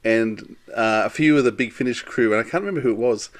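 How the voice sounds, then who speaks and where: Podcast cohost guy, medium pitch, casual chat, kinda halting, thinking out loud. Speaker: podcast co-host.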